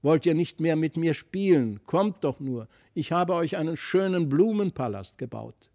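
A man's voice: a little high, animated, normal speed, dark tone. Man voicing a sweet, coaxing magical child. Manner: coaxing, calling. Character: sweet, yearning magical child calling playmates. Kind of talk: audiobook storytelling.

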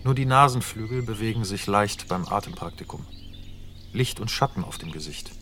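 A man's voice: fairly low pitch, lively intonation, normal speed, sharp tone. A man narrating a radio drama, reading scene and camera directions in clipped, matter-of-fact phrases. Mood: detached. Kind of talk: scripted narration reading screenplay stage directions.

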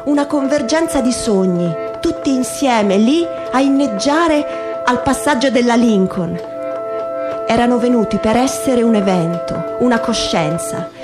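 A woman giving a dramatic literary reading in measured, emphatic phrases, in the style of radio theatre. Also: fairly high pitch, lively intonation. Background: music.

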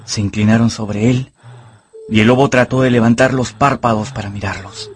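An actor narrating an adventure novel as a storyteller in a dramatized radio audiobook. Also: male voice, medium pitch, fairly even intonation, normal speed, natural voice. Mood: grave storytelling.

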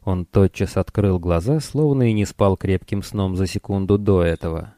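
A man's voice: deep voice, moderate pace, warm tone, dry recording, clean studio sound. A man reading a novel as an audiobook narrator in a scripted storytelling style.

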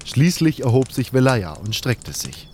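A man's voice: medium pitch, sing-song, unhurried, darkish tone, a little hiss or hum. A man narrating a scripted first-person story for an audio drama.

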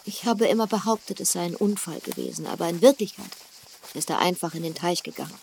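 Young widowed lady of a manor, frank and self-assured, lowish voice, reverberant radio drama with music. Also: fast talker, vocal fry, a little mellow, slight background noise. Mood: regretful. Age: young adult.

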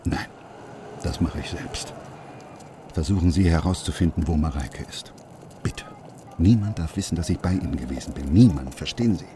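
An actor playing a secretive, worried brain surgeon father who speaks in an urgent, hushed, pleading way. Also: low-pitched, moderate pace, long pauses, noisy background, natural voice.

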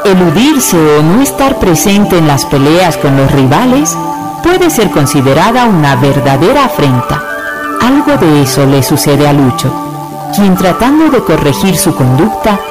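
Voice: medium pitch, sing-song, measured pace, poor audio. A narrator reading a scripted radio drama introduction in a dramatic, rhetorical manner. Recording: music behind the voice.

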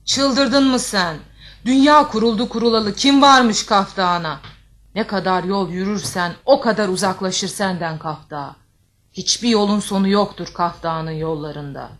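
A woman plays a giant ogress from a fairy tale. She is motherly and protective, yet commanding. Her mid-pitched voice gives measured, wise instruction. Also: a bit bright, close to an everyday voice. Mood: questioning. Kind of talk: Turkish fairy-tale audio drama.

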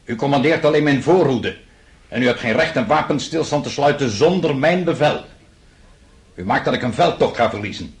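A man playing a displeased, imperious emperor in a radio play. He speaks in a mid-pitched voice, curt and commanding, as if dictating orders. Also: lively intonation, moderate pace, lightly acted. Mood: stern displeasure. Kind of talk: radio drama acting.

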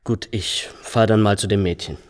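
An actor playing a brisk, businesslike police detective in a radio drama. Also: male voice, on the low side, steady tone, long reverb tail, close to an everyday voice.

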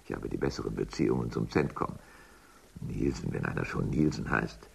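An actor playing a capricious, cynical, world-weary official in a science fiction radio drama, moody, rambling and muttering, with a gravelly, husky voice. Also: male voice, a bass voice, lively intonation, normal speed, heavily acted. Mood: cynical, scheming. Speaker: high-ranking boss.

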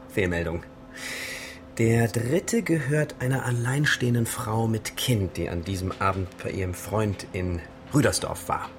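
An actor playing a sardonic amateur sleuth in a radio crime drama, reporting in a dry, clipped and grumpy way. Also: male voice, lowish voice, gravelly. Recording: noisy ambience behind the voice.

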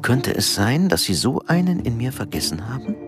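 A man's voice: medium pitch, very animated, normal speed, natural voice. An actor playing an anxious, evasive, apologetic middle-aged businessman in a radio drama, hesitant in his replies. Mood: earnest, wry. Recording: music behind the voice.

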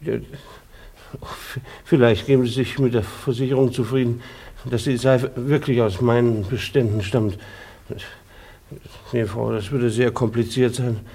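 Radio drama role: timid hard-up husband and peddler, creaky mid-range voice, hesitant. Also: male voice, medium pitch, steady tone, unhurried, pauses often, warm tone. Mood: nervous, apologetic. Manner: hesitant stammering when speaking to others. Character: timid, needy husband turned door-to-door soap peddler, narrating. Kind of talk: radio drama narration and dialogue.

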